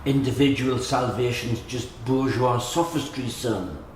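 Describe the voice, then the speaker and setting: A man plays an old working-class Geordie grandfather in a radio play. He is gentle and philosophical, speaking plainly at a medium pitch in a roomy recording with faint noise. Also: a little slow, natural voice. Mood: wry, philosophical. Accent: Geordie, north-east England. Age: older. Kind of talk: naturalistic radio drama acting.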